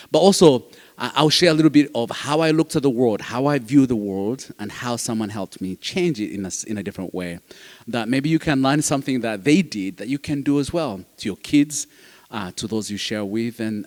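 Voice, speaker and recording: A man with a Ugandan accent gives a personal talk as a guest speaker, his English a later language. He is emphatic, repeating phrases for weight. His mid-pitched voice rings with long hall reverb. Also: darkish tone. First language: not English, which is a fourth language. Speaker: guest speaker, foster care advocate. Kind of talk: personal motivational talk to an audience.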